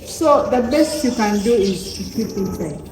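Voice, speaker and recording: A woman's voice: medium pitch, expressive, measured pace. Ugandan lady counselor chatting and explaining bit by bit, echoey room, noisy background. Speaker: trauma counselor guest. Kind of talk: conversational explanation.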